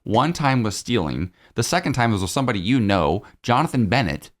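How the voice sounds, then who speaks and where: A man's voice: medium pitch, big pitch swings, fairly quick. Chatty American man telling stories. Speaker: podcast co-host. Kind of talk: casual chat, storytelling.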